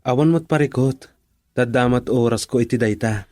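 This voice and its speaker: Man as devoted young sweetheart in radio drama: mid-pitched, gentle, humble, close dry recording. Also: normal speed, dry recording, lightly acted. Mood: tender, humble.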